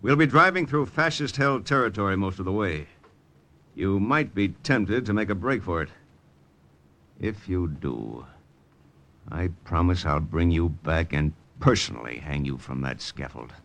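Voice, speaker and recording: An actor playing a tough, bitter American wartime agent, narrating and talking in terse, cynical old-time radio style. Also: male voice, sits low, animated, unhurried, gravelly, exaggerated voice. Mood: hard, threatening. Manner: hard-boiled, terse, cynical. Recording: vintage radio broadcast with loud music behind.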